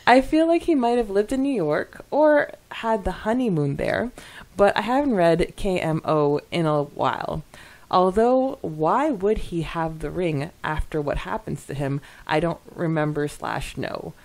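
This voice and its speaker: A woman podcast co-host reading out listener comments in an expressive, conversational way with casual asides. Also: lowish voice, a little slow.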